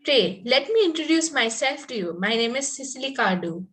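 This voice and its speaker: A woman's voice: sits high, very animated, normal speed, a bit bright, a little hiss or hum. A woman reading the part of a naive, romantic young Victorian girl in an amateur play reading, earnest and politely formal. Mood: eager, polite. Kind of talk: amateur play table read.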